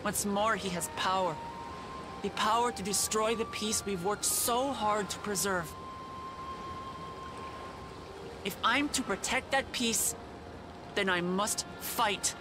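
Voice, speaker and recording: An actress performs a young female ruler in a dramatic game cutscene. She speaks earnestly and formally, with measured resolve and grief held in check. Loud music plays behind her. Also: mid-range voice, natural voice. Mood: grave resolve.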